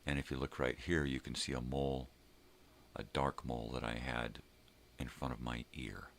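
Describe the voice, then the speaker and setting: An older man with a very deep, gravelly voice chats casually in a rambling, drawn-out way, as a vlog host. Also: flat delivery, fairly bright tone, slight background noise. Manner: rambling, drawn-out, mild swearing.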